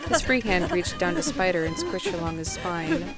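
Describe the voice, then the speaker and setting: Female narrator for audio drama, lowish register, scripted storytelling, music underneath. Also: sing-song, normal speed.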